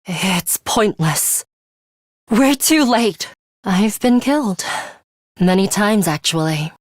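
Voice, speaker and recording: A woman voicing a stern, composed young student fighter in a video game, speaking in a measured, serious way. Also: mid-range voice, animated, dry recording, clean studio sound. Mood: grave, subdued. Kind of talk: English video game character voice lines.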